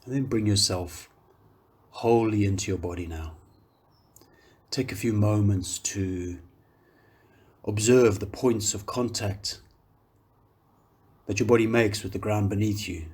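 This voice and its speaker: Deep-voiced man guiding a calm meditation. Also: breathy edge. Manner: calm, unhurried, gentle phrasing with long pauses. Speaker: meditation guide, yoga teacher. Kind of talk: guided meditation, slow soothing instruction.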